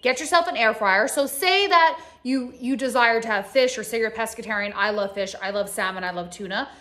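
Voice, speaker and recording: A woman's voice: on the high side, brisk, a bit bright. A woman in her thirties talking straight to camera as a health coach, casually instructing in a conversational, emphatic way in a home kitchen. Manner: conversational, emphatic, addresses the audience directly. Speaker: health coach and video host.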